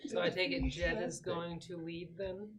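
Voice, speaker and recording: A player with a medium-pitched voice talks casually around a tabletop roleplaying game, moving between discussing the situation and speaking for a character. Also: flat delivery, moderate pace, a loud crowd.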